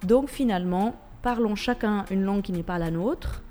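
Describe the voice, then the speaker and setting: A woman's voice: medium pitch, normal speed, dark tone. A woman from Switzerland with a Swiss French accent, a radio guest who talks with many hesitations and restarts. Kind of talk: radio interview.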